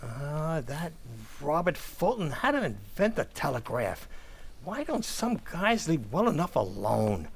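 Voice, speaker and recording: A woman's voice: low-pitched, sing-song, measured pace, breathy edge, exaggerated voice. A woman playing a bumbling, panicky working-class American husband, stammering and blustering in a 1940s radio comedy style. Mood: grumbling.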